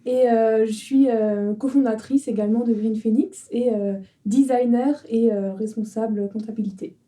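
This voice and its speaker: Woman, 20, startup cofounder guest; hesitant delivery, slightly high pitch, dark timbre, distant mic. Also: fairly even intonation, normal speed. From France, Alsace. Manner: hesitant, with fillers. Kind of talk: casual interview answers.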